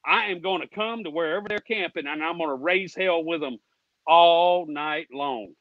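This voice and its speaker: A man's voice: a little high, fairly quick, dry recording, lo-fi sound. An American man, a guest on a racing fan show, ranting in a combative, indignant, folksy way with plenty of swearing. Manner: folksy, with "ain't" and "y'all". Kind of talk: heated ranting.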